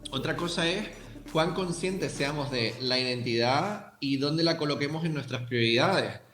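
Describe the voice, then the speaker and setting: A man's voice: a little high, moderate pace, fairly bright tone, echoey room, soft background music. A young man with a Canarian accent, a writer thoughtfully answering interview questions and speaking directly to the listeners. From Canary Islands, Tenerife. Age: young adult.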